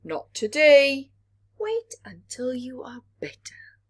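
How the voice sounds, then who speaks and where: A woman's voice: high voice, very animated, slow pace, husky, pitched above the performer's own voice, exaggerated voice. A woman voicing a protective parent of a little planet in a children's storybook, firm and emphatic.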